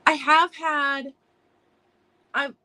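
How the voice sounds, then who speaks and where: Chatty woman hosting a live sale, slightly hoarse. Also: high voice, level tone, very slow, sharp tone. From United States. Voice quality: throat-clearing. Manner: chatty, restarts sentences, greets viewers by name while describing items. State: losing her voice from allergies. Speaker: host of a live vintage sale. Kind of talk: casual live-stream selling chat.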